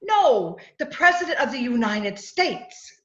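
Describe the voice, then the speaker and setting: Radio-play fiancee, woman actor: haughty, exasperated, theatrical, animated delivery over muffled call audio. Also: sits high, a little slow, exaggerated voice. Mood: confiding, insistent. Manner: theatrical, insistent, scolding. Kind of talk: old-time radio drama acting. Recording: dull video-call audio.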